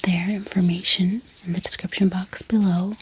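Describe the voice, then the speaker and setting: A woman whispers softly and breathily in a gentle, chatty ASMR style, talking informally to her viewers close to the microphone. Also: lowish voice, level tone, moderate pace, slight background noise. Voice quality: breathy, mostly unvoiced whisper. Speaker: ASMR video host. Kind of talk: soft-spoken whispered ASMR.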